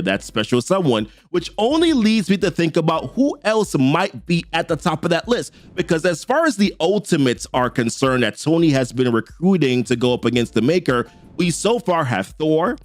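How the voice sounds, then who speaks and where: A man's voice: fairly high pitch, no echo. A young man with a Jamaican accent, recapping a comic story in a casual, slangy way. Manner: chatty, slangy, reads character lines in quick quotes. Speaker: comic book channel host.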